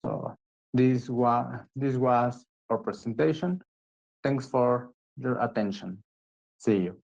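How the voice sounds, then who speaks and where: A man speaks at a steady, medium pitch as he wraps up the conclusions of a presentation. The recording sounds dull and lo-fi. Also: takes it slowly, gravelly. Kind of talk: closing a recorded talk.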